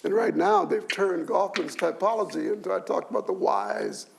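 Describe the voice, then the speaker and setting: An older man with a high, strongly gravelly voice speaks on a discussion panel. His pitch moves a lot as he explains, and he often repeats a word before going on. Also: big pitch swings, normal speed. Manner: repeats and restarts words, adds "you see". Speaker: sociology professor, panelist.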